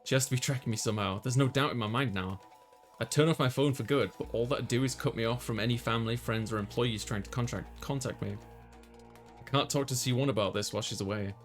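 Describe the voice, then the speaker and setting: A man's voice: mid-range voice, fast, faint music behind. A man doing a let's play, reading a visual novel aloud and dropping in casual commentary. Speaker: let's player.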